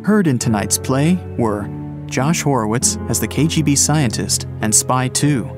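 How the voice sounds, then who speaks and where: A man's voice: medium pitch, lively intonation, a little slow. A man hosting an audio drama podcast, reading scripted credits. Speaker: podcast host. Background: music.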